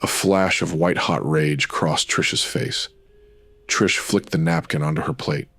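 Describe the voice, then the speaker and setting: Very deep-voiced brooding noir narrator. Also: male voice, fairly even intonation, unhurried, fairly bright tone, no echo, heavily acted. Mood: tense, grave. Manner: terse, dramatic, ominous. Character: brooding male narrator of pulp noir tale.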